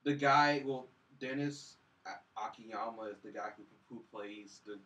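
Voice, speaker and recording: A man in casual chat as a film podcast host, speaking in a hesitant, halting way. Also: on the low side, a bit bright, highs cut off. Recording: distant mic with faint background noise.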